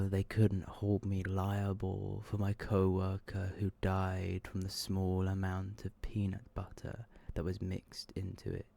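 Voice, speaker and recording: A man with a very low, creaky voice reads scary stories in an ASMR style. His delivery is slow and hushed, often sinking toward a whisper, with a dark, mellow tone. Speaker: ASMR host reading short horror stories. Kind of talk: ASMR scripted narration.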